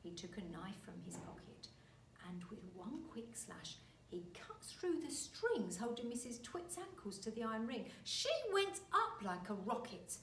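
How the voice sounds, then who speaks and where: A woman reading a children's story aloud like a teacher, expressive and deliberate. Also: on the high side. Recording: roomy, distant mic, faint noise.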